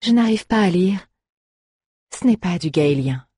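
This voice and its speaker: A reader voicing a curious, quick-spirited female bard in a fantasy audiobook, speaking eagerly and with assurance. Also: medium pitch, expressive, darkish tone, husky, no echo, clean studio sound. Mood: assured.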